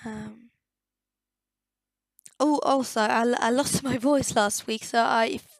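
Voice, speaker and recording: A young woman giving a casual voice-over commentary on a game build, slightly croaky and hesitant, often searching for her words. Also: a little high, fairly even intonation, slow pace, dry recording, clean studio sound.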